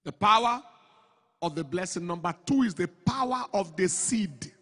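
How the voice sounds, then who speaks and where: A middle-aged man preaching a sermon into a handheld mic on a large church stage. He goes from slow, measured phrases to loud, emphatic declarations. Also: high voice, lively intonation. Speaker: pastor. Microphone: handheld microphone. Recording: long hall reverb, slightly breathy.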